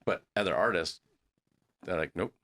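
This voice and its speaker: A man in his fifties with a deep voice, hosting a podcast and telling rambling, stop-start stories in a casual interview. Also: moderate pace, pushed and bright. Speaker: podcast host.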